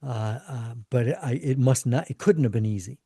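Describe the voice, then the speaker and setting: Warm, dry-voiced man asking probing questions. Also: mid-range voice, husky, dry recording. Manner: probing, teasing, quick follow-up questions. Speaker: podcast guest and veteran journalist. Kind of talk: casual interview chat.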